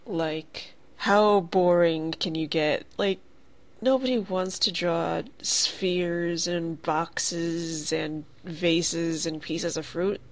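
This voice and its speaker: A woman with a stuffy, cold-stuffed voice, hosting a casual art tutorial, chatty and profane, with lots of "like". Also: lowish voice. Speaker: art channel host.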